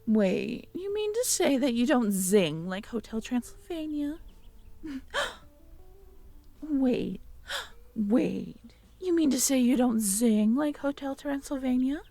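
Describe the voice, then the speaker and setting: A young woman in an intimate audio roleplay, talking softly to the listener, hesitant and stammering. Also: high voice, expressive, takes it slowly, vocal fry, long pauses. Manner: hesitant, stammering, soft-spoken. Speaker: audio drama performer speaking to the listener.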